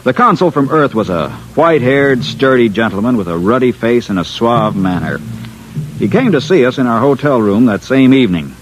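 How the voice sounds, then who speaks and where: Animated stranded scientist, played by a man, noisy recording. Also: mid-range voice, normal speed, natural voice. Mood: uneasy recollection. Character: anxious, determined male scientist stranded on alien world. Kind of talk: 1950s radio drama acting. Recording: noisy vintage radio broadcast.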